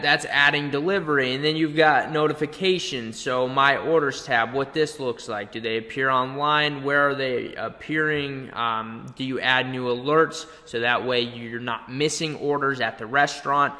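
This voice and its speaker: Tutorial presenter: young male, mid pitch, crisp bright edge, conversational and explanatory, long room reverb. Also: moderate pace. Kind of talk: conversational screen-share tutorial. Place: indoor room, webcam beside screen capture.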